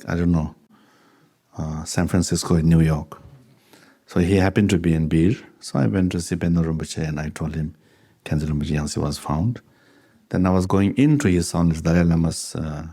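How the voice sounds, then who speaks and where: Low, mellow-voiced man teaching with an accent. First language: Tibetan. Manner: unhurried, conversational, chuckles while telling anecdotes. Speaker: Buddhist teacher. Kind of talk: dharma talk with question and answer.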